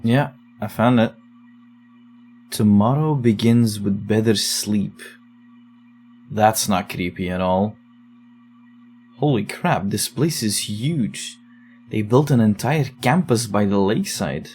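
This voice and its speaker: A man's voice: lowish voice, slow pace, long pauses, a little mellow, faint music behind. A man playing a reluctant investigator who reads eerie case files aloud in an audio drama, then argues warily with a friend. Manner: reluctant, wary, exasperated in banter. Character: young man digging through eerie case recordings. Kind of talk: audio drama narration and dialogue.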